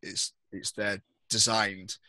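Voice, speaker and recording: Guy game mastering a tabletop rpg on a headset, bright voice, no room sound. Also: fairly low pitch, flat delivery, slow, no echo. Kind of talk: narrating a tabletop roleplaying game. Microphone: headset on a video call.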